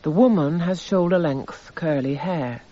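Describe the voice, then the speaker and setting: An actor playing a detached narrator, reading measured, descriptive scripted narration for a radio drama. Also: male voice, a little high, mellow. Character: detached male narrator listing evidence items. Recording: slightly dull radio recording.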